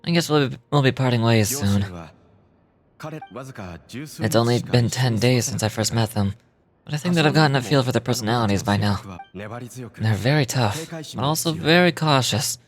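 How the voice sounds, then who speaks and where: A man's voice: medium pitch, moderate pace, long pauses, crowd noise, lightly acted. A man voicing a kindly, modest stage magician from a game, reading his diary in a reflective way and occasionally stumbling over words. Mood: concerned, reflective.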